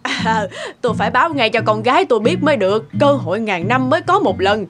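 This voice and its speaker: An actress playing a boastful, snippy village mother in an animated folk tale, with a bragging, catty manner. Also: a little high, quick pace, music in the background, natural voice.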